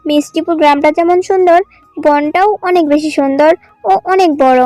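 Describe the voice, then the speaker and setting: A woman's voice: sits high, brisk, mellow, dry recording, faint music behind. A woman reading scripted narration for a children's cartoon story.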